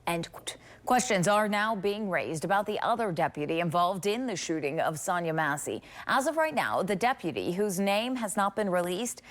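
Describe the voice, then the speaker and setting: A woman news anchor reading scripted broadcast news in a studio. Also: lowish voice.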